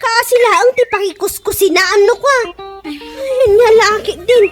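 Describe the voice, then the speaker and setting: A very high-pitched Ilocano woman, played by an actress as an indignant friend who has had enough. She scolds emphatically in comedy radio drama style, with music faintly underneath. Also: animated, measured pace. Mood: furious, scolding.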